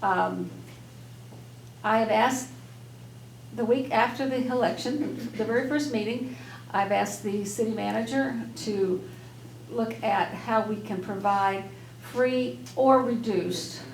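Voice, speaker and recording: A soft-spoken woman on city council, addressing residents at a public meeting in an earnest, persuasive way that rambles a little. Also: on the high side, slow, vocal fry, a little hiss or hum. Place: public meeting room, indoors. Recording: echoey meeting room.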